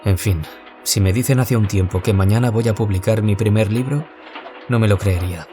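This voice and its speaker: A man plays a wounded, reflective young writer in an introspective audio drama. His voice sits low and he speaks as if confiding to himself. Music plays strongly underneath. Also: normal speed, lightly acted. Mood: bashful. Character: troubled young man, former bullying victim, wistful writer. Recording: loud music behind.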